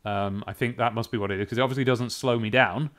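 A man's voice: medium pitch, steady tone, fast talker, a bit bright. A young British man giving casual, chatty gameplay commentary in a wry manner, thinking aloud close to a desk microphone. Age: young adult. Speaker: let's play host. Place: small room at a desk. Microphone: desk microphone with pop filter, close. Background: game music.

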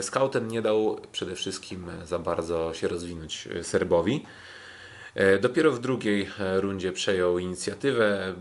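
A man hosting a sports podcast, commenting on kickboxing bouts in a halting, hesitant way. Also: fairly low pitch.

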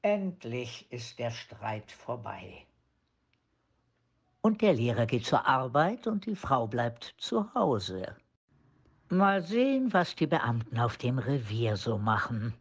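Lively male narrator with varied pitch. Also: slow pace, slightly rough. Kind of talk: scripted audio drama narration.

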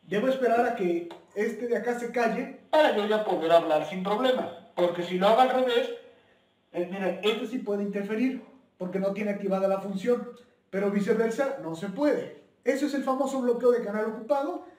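A man's voice: high-pitched, normal speed, a little mellow. A young man with a Mexican accent giving an informal tutorial, addressing viewers in a friendly, direct way. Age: young adult. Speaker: video tutorial host. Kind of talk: informal instructional explanation with practical examples. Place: small bare indoor room at a table. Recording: echoey bare room, distant microphone.

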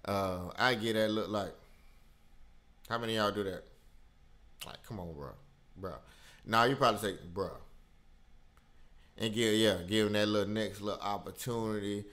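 A man's voice: lowish voice, steady tone, moderate pace, pauses often, a bit bright. An American man hosting a podcast, chatting casually as he reacts to videos. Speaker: podcast host.

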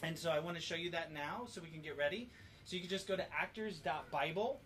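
Male host, slightly high voice, informal step-by-step walk-through, faint background noise at home. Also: fast talker, a bit bright. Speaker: host of a family worship demo. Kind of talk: casual walk-through of an app. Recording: noisy home recording.

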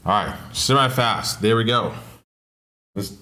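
A man's voice: lowish voice, lively intonation, measured pace, pushed and bright. Guy running a live auction stream, upbeat, says everything twice, bit of hiss behind. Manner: repetitive, upbeat, hyping each item.

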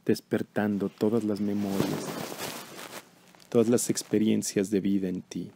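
A man leading a guided meditation in a calm, measured, instructional way. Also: on the low side, creaky, slight background noise.

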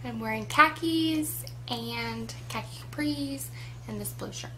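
A woman's voice: a little high, measured pace, background noise. A woman in her twenties chatting casually to a webcam in a small room, full of teasing jokes. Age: 20s. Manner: teasing, joking asides. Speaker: co-host. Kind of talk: casual chat. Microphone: webcam at desk distance.

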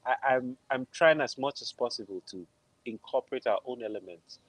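A man with a Nigerian accent answers interview questions in a conversational way. His pitch is middling, and he speaks as a guest over a video call on earphones. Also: normal speed, a bit bright, no room sound, low-quality recording. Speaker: guest, film composer. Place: room at home, on a video call. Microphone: wired earphones on a video call.

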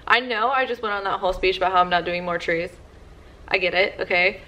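A woman's voice: mid-range voice, moderate pace, bright, forceful tone, rough recording. A woman in her twenties chatting casually through a shopping haul in her living room, with lots of "like" fillers. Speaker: vlogger.

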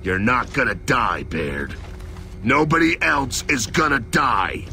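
An actor playing a gruff, hardened male squad leader who gives curt orders in a gravelly voice. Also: medium pitch, animated, takes it slowly, slight background noise, exaggerated voice. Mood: grim resolve. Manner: curt, commanding. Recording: game audio with loud music.

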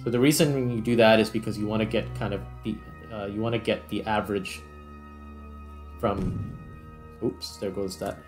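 A man with a fairly low voice talks through a video game in a casual way. He thinks aloud and explains as he goes, with some music playing behind him. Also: fairly even intonation, moderate pace. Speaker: gaming video host. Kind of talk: casual gameplay commentary.

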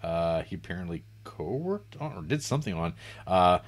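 A man's voice: low-pitched, big pitch swings, moderate pace. A man co-hosting a podcast, chatting casually with wry, dry jokes. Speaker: podcast co-host. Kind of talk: casual banter.

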